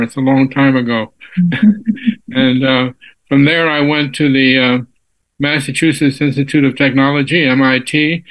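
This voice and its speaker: A man in his nineties from Texas, a physicist guest in an interview, talking slowly with many fillers and restarts. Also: mid-range voice, fairly bright tone, poor audio. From Texas, USA. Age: 90s. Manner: unhurried, halting with frequent fillers and restarts. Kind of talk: casual interview.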